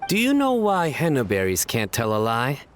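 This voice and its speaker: A man voicing a serious, composed investigator in a video game, with a measured, deadpan delivery and dry humour. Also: mid-range voice, faint music behind. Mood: deadpan joking.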